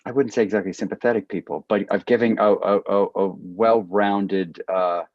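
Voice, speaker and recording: A man's voice: lowish voice, fairly even intonation, moderate pace. A man moderating a panel conversation, speaking thoughtfully and with some hesitation. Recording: echoey room, slightly dull sound.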